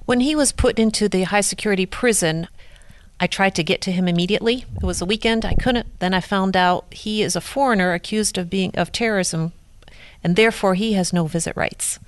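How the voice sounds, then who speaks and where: A woman's voice: mid-range voice, a little fast, low-quality recording. A woman telling her side of events plainly as an interview guest. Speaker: guest, prisoner's wife. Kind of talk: interview storytelling.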